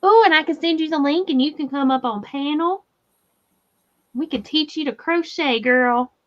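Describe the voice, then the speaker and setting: A woman's voice: sits high, normal speed. A woman with a Southern US accent hosting a crochet livestream in casual, laughing chat and reading viewer comments aloud. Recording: slightly dull lo-fi audio.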